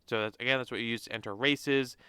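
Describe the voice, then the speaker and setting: Young guy w a stuffy cold nose, bright crisp voice, dry close sound, rambly with lots of likes and uhs. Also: mid-range voice, steady tone, fast talker, dry recording. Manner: rambling, many fillers and restarts. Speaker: crypto channel host. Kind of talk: casual screen-share walkthrough.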